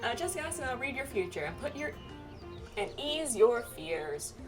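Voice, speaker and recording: A woman's voice: a little high, sing-song, moderate pace. A woman voicing a nervous, flustered young male astrologer in a game, hesitant and stammering. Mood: nervous sales patter. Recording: distant mic, game music behind.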